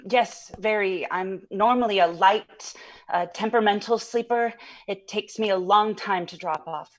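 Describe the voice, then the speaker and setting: A woman acting as a shaken witness in an audio drama interview. Her mid-pitched voice is earnest and halting as she carefully recalls what she saw, correcting herself as she goes. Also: natural voice. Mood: uneasy recall. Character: shaken witness recounting a strange night.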